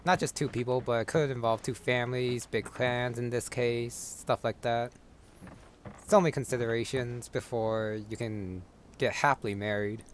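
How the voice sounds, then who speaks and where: A man giving casual, thoughtful commentary on a game, pausing as he thinks aloud. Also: mid-range voice, fairly even intonation, a little hiss or hum. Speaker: gamer commenting on his playthrough.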